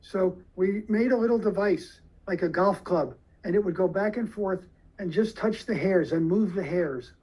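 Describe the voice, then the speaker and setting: A man giving a lecture to surgeons, explaining slowly and deliberately with questions to the audience. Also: sits high, darkish tone, husky, low-quality recording.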